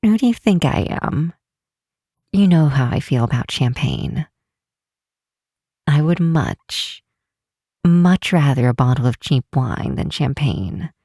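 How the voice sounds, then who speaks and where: A woman acts out a caring best friend in an intimate ASMR roleplay. She talks very slowly and gently, pausing often, with a teasing fondness. The sound is close and dry. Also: low voice, expressive, breathy edge, no echo, exaggerated voice. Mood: playful, fond. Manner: hesitant, gentle, unhurried with pauses.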